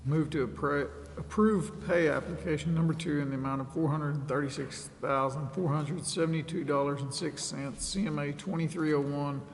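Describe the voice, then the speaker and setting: A man's voice: a little high, fairly even intonation, moderate pace, few pauses. An American man, a council member, formally reading motions aloud in an echoing chamber with some noise.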